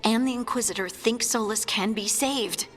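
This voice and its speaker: A woman playing a gentle, thoughtful adventurer in a fantasy game, speaking in a hesitant, reflective and friendly way. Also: fairly high pitch, moderate pace, lightly acted. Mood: troubled, reflective. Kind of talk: fantasy video game acting. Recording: long reverb, faint music.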